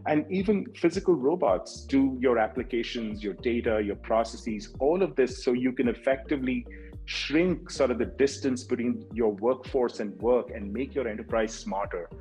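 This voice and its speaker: A man's voice: mid-range voice, normal speed, a little mellow, soft background music, rough recording. A man in his thirties presenting a conference keynote over a video call from a room at home. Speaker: industry analyst, keynote speaker.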